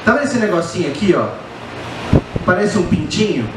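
A man's voice: sits high, very animated, brisk, far from the mic. A middle-aged man with a Brazilian accent doing colloquial, crude stand-up storytelling into a handheld microphone on a small stage. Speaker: stand-up comedian. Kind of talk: stand-up comedy storytelling to a live audience. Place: small indoor bar stage. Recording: very echoey live venue with faint background noise.

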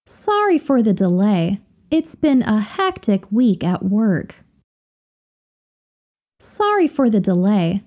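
Slow, lively, mellow-toned woman teacher. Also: medium pitch. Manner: careful, deliberate, each phrase repeated for learners. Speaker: language teacher reading example sentences. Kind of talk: slow, clear drill reading of example phrases.

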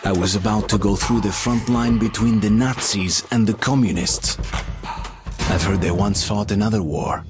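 A man's voice: fairly low pitch, moderate pace, close to an everyday voice. An actor playing a weary young survivor, narrating his journey in a video game. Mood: somber. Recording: music behind the voice.

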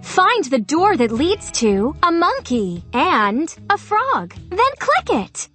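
Peppy 10 yr old boy leader for a kids game, high bouncy voice, cheering you on and explaining stuff, music behind. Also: wildly swooping pitch, slow, heavily acted. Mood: helpful, upbeat. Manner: encouraging, clearly instructive. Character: Ryder, upbeat ten-year-old boy rescue team leader. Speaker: game guide. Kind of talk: children's educational game narration. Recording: music under the voice.

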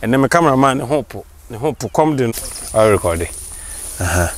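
A young Ghanaian man interviewing a farmer outdoors, often prompting with short murmurs and slow questions. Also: medium pitch, animated. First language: Twi. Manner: many short "mm" backchannels, drawn-out questions. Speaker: interviewer, channel host. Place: open farmland outdoors.

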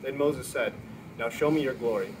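A man's voice: medium pitch, normal speed. A man in his thirties reading scripture aloud outdoors. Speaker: scripture reader. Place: outdoors in front of a garage. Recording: steady outdoor ambient noise.

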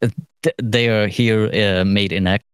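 A middle-aged man thinking aloud through an informal studio tutorial, halting and musing, with trailing phrases. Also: fairly low pitch, steady tone, smooth, dry recording. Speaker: mixing engineer giving a lesson. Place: recording studio, seated at desk.

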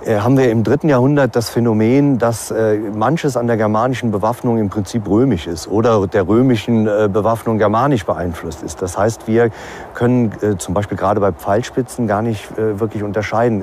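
Lowish-voiced male expert, hesitant. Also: a little fast, darkish tone. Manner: hesitant, searching for words. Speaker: expert interviewee. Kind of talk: unscripted expert explanation. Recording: faint background ambience noise.

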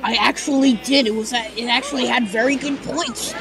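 A woman's voice: on the high side, fairly even intonation, a little fast, crowd noise, lightly acted, rough recording. A woman voicing an unhinged male cartoon mad scientist villain, whiny, rambling and foul-mouthed, in an improvised fandub. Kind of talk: improvised comedic video game fandub. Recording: game music behind.

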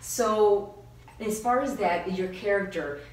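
A woman's voice: medium pitch, takes it slowly. A woman conducting a conversational entertainment interview as the interviewer. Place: small indoor room. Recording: echoey room, distant mic, faint noise.

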